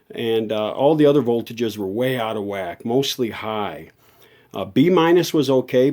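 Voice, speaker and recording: Folksy guy doing a casual repair vlog, mid pitch voice, kinda thinking out loud and correcting himself. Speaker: radio repair hobbyist and video host. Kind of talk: casual unscripted repair walkthrough.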